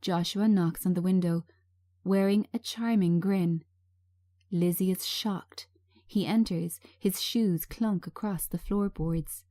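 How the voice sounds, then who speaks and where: A woman giving a scripted narration of screenplay directions in a measured, understated manner. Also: on the low side, steady tone, mellow, dry recording.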